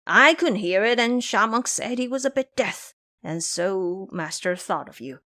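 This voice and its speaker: A woman's voice: mid-range voice, expressive, a little slow, sharp tone. A woman voicing a foolish, pouty male pig monster in an audio drama, sulky and pleading. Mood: pleading, coaxing.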